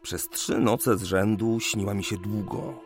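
Man acting a lovesick, gravelly young dreamer, music behind. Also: fairly low pitch, steady tone, a little slow. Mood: wistful, yearning. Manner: hesitant, earnest, wistful. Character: lovesick, distracted young male geometer in the 1820s. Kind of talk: Polish radio play acting.

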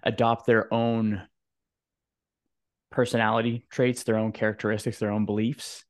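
A man hosting a conversational podcast interview, phrasing his questions in a halting, thoughtful way. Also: fairly low pitch, level tone, dry recording.

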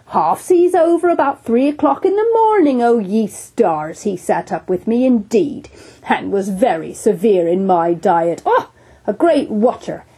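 A woman's voice: a little high, dark tone, breathy edge, exaggerated voice. A woman playing a boisterous one-armed sea captain. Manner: boisterous, teasing, hearty.

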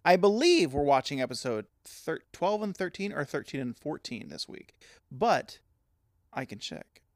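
Animated, joking man on a podcast. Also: a little high, gravelly, dry recording, studio quality. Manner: joking, animated, quick comebacks. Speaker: podcast co-host. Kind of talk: casual chat.

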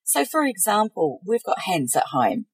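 Aussie woman cohost chatting, bit raspy, really dry recording, earnest and confiding. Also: mid-range voice, very animated, moderate pace, no room sound. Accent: Australian. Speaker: co-host. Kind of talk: casual chat between co-hosts.

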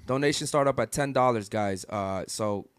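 A man's voice: fairly low pitch, moderate pace, clear, resonant tone, no echo. Young guy hosting a podcast, dry close mic, keeps saying guys and okay. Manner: loose, hype-driven, repeats "guys" and "okay". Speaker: podcast host. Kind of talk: casual live podcast chat. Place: studio in Miami.

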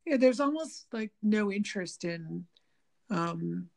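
Gravelly, slightly nasal woman chatting. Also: mid-range voice, expressive, slow, darkish tone. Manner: rambling, many restarts and "you know"s. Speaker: guest talking about diet and the body. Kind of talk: casual conversation.

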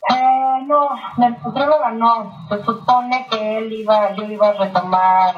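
A woman's voice: on the high side, steady tone, unhurried. A woman from Mexico, answering interview questions hesitantly and mixing in English phrases. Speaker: interview guest, nutritionist. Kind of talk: casual conversation. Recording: echoey, distant, muffled, faint music.

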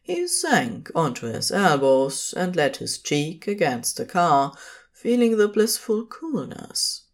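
A woman narrating scripted fiction as a storyteller, in a measured, phrase-by-phrase manner. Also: low voice.

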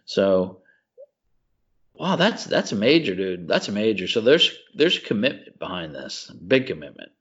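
A man with a fairly low voice chats casually as a podcast co-host. He is effusive and slangy, often restating a phrase to drive it home. The recording is a little dull and lo-fi. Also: big pitch swings, a little slow, a bit bright, slightly rough. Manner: effusive, slangy, repeats himself for emphasis. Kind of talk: casual chat about video games and movies.

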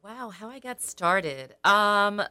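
Bright, smooth-voiced woman actress. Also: mid-range voice, lively intonation, slow pace. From Sullivan County, New York. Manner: cheerful, chatty storyteller. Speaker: actress guest. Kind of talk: casual interview chat.

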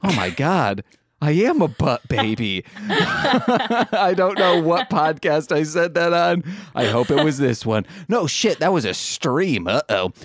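A man's voice: fairly high pitch, sing-song, slow pace, no room sound. A man hosting and producing a comedy podcast, joking and rambling through his plugs. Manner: goofy, rambling, jokey asides. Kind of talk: casual comedic podcast chat.

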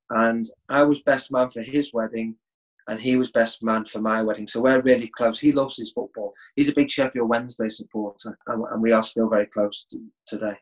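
A man's voice: mid-range voice, poor audio. Easygoing man. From England. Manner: relaxed, easygoing with chuckles and restarts. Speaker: guest. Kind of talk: casual interview chat.

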